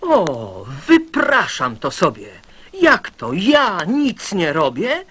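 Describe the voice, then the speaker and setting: A woman's voice: lowish voice, wildly swooping pitch, takes it slowly, a bit bright, full character voice. A woman playing a lazy little boy who protests indignantly, in a whiny manner, in dramatized children's verse.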